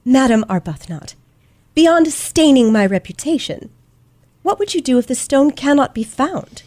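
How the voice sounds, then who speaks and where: Radio play lead: shrewd teen girl sleuth, played by a woman, high, lively, formal and theatrical. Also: unhurried. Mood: firm. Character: confident, shrewd teenage girl detective. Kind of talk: old-fashioned radio play acting.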